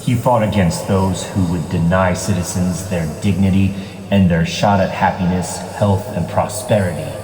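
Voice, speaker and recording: A man voicing a wealthy, idealistic civic leader and vigilante in a comic dub, composed, measured and stately. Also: deep voice, fairly even intonation, darkish tone, slight background noise, natural voice. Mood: solemn, idealistic. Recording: echoey hall, distant from mic.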